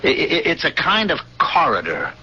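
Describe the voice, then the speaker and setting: An actor playing a male ex-smuggler space pilot who is frightened and insistent, with a halting, tense delivery, in a 1970s radio drama. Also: fairly high pitch, wildly swooping pitch, sharp tone, slightly rough, full character voice. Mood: fearful. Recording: dull 1970s radio broadcast.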